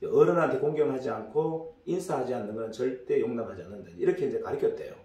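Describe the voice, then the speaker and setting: A hoarse middle-aged man giving a casual business lecture as a story, rambling with many fillers and restarts. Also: medium pitch, fast, warm tone. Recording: echoey room, distant mic.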